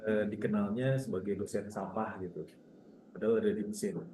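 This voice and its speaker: A man's voice: fairly low pitch, fairly even intonation, fairly quick, dark tone. Male lecturer, echoey noisy room. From Indonesia. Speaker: university lecturer moderating a guest talk. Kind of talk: informal closing remarks to students. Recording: echoey room with clear background noise.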